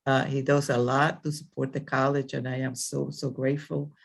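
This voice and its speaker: A woman's voice: rumbling low, level tone, normal speed, vocal fry, husky. An older woman from the Dominican Republic, a college president, speaks at a virtual ceremony. Her speech is rambling and full of fillers and restarts, heard over a video-call microphone.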